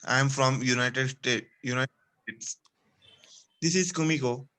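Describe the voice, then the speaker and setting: Man reading slowly, bright voice. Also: mid-range voice. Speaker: student. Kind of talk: reading aloud.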